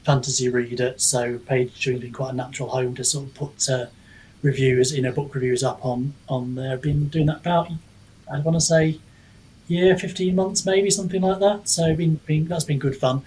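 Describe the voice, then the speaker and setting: A man's voice: medium pitch, steady tone, fairly quick, darkish tone, breathy edge, low-quality recording. A man speaking casually as a guest author in a podcast interview, self-deprecating and a little rambling as he feels for his words. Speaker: guest author, fantasy writer and book reviewer.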